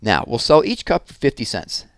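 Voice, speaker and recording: A man's voice: medium pitch, very animated, normal speed, gravelly, dry recording, voice pushed up. An eager, slightly anxious young girl from a children's storybook, played by a man. The voice is lifted well up and swings about as it chats and explains things earnestly, recorded dry. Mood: confident, explaining. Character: eager, anxious eight-year-old girl, good at math. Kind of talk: children's storybook read-aloud.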